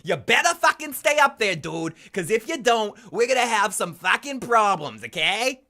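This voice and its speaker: Man, Boston accent, middle-aged, rambling profane live-stream host, highly animated pitch, slightly sick. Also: normal speed. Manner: profane, rambling, excitable outbursts. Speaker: podcast host. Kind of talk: casual live-stream chat.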